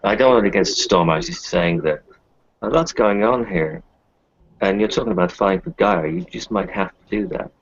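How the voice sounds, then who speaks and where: A man's voice: deep voice, a little fast, muffled recording, a little hiss or hum. A man playing a defensive, determined doctor in an improvised roleplaying game. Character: defensive, determined male doctor arming himself with guns. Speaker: player in an online roleplaying session. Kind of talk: in-character improvised roleplay talk.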